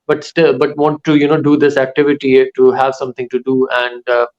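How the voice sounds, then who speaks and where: Man with a thoughtful, halting delivery. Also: medium pitch, monotone, darkish tone, lo-fi sound. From Pakistan. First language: Urdu and Saraiki. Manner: thoughtful, pauses often mid-sentence. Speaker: author and writing teacher. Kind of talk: casual interview answers.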